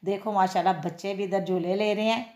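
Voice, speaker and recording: A woman's voice: medium pitch, steady tone, brisk, darkish tone. A woman, chatting casually to viewers in a home vlog and repeating her favorite filler phrases. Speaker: cooking and daily-life vlogger. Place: home kitchen, then outdoor street fair. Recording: echoey room sound.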